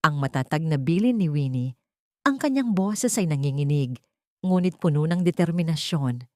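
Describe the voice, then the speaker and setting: A woman's voice: deep voice, lively intonation, normal speed, dark tone, no echo, clean studio sound. A woman with a Filipino accent reading a scripted story as a dramatic audiobook narrator.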